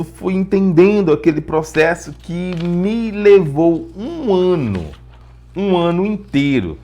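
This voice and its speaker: A man's voice: fairly high pitch, a little mellow. A man with a Brazilian accent giving an informal lesson as an English teacher, explaining through personal stories, repeating phrases for emphasis and posing rhetorical questions.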